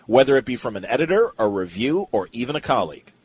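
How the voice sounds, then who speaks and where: Very lively-pitched male podcast host. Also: fast talker, breathy edge. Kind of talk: casual interview, reading out listener questions.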